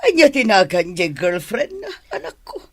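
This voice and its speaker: A woman's voice: medium pitch, big pitch swings, normal speed, husky, soft background music. A woman with an Ilocano accent playing a gossipy, cautioning middle-aged mother in an audio drama. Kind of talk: Filipino audio drama acting.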